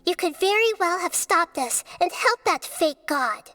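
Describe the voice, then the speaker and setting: A woman's voice: extremely high pitch, expressive, unhurried, pushed and bright, full character voice. A woman playing a small, childlike goddess of wisdom in a video game, earnest, precise and thoughtful, with music behind. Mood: wary, firm. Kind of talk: dramatic video game voice acting.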